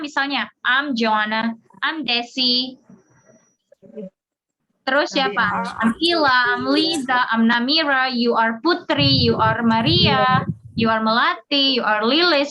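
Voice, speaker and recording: High, bright-voiced Indonesian woman teaching. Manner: explanatory, repeats example sentences slowly. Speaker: English teacher. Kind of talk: informal grammar lesson with examples. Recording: dull audio with clear background noise.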